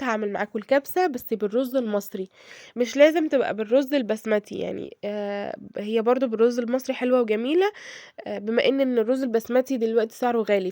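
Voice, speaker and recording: A hoarse woman with an Egyptian accent talks her viewers through a home recipe at a rapid clip, her voice sitting a little high. She chats casually, filling pauses and checking in with them often. The sound is close and dry, with no room echo. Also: darkish tone. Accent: Egyptian Arabic. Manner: chatty, addresses viewers directly, frequent fillers and check-ins. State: hoarse from a cold. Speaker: cooking vlogger. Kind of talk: casual cooking tutorial.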